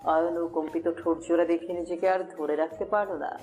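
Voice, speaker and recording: Woman, lowish pitch, scripted romantic story narration, music underneath. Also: fairly even intonation, a little fast, warm tone.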